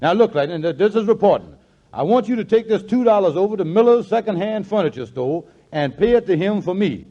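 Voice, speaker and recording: A man's voice: sits high, animated, a little fast. A man playing a scheming, blustery small-time con artist in 1940s radio comedy, with bossy, emphatic delivery and mangled folksy grammar. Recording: muffled vintage 1940s radio recording.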